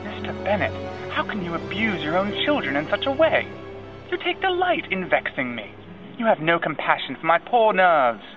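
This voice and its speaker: Fussy nervous gentlewoman, pitched way up, lively, put on by a man reading, lo-fi with music under. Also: moderate pace. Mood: aggrieved. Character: fretful, excitable middle-aged gentlewoman, mother of daughters. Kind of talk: audiobook reading. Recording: lo-fi with music behind.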